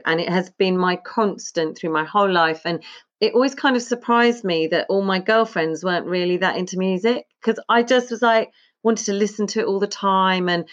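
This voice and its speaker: Chatty woman in her fifties, lo-fi. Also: on the low side. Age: 50s. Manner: chatty, rambling, laughs often. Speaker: podcast guest, musician. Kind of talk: casual interview chat. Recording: slightly dull lo-fi sound.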